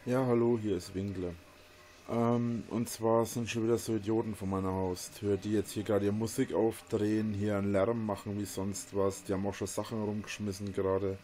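Flat, low-voiced man making a call. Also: moderate pace, slight background noise. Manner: flat, matter-of-fact. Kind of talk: plain phone-call talk.

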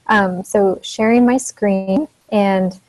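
A soft-spoken young woman, a teacher, giving an informal educational presentation over a video call. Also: mid-range voice, steady tone, slow pace, low-quality recording. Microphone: earphone mic on a video call.

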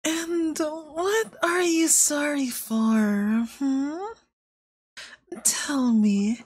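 A woman voicing a possessive, manipulative young femboy yandere boyfriend in ASMR roleplay, soft, breathy and cloying, coaxing with veiled menace. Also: sits high, fairly even intonation, extremely slow, dry recording, studio quality. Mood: menacing, demanding.

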